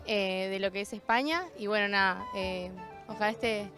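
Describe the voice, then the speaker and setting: A woman's voice: mid-range voice, fairly even intonation, normal speed, over music. A young woman from Uruguay talking casually with many fillers.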